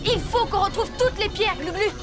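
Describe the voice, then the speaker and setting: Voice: very high-pitched, normal speed. A performer voicing a confident, reassuring young boy superhero who leads his team in a cartoon, decisive and encouraging. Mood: determined. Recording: loud music behind.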